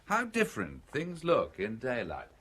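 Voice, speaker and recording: An actor playing a shrewd, coolly sardonic stranger in a radio drama, questioning directly and teasingly. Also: male voice, mid-range voice, wildly swooping pitch, unhurried, a bit bright, slight background noise. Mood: casual, watchful.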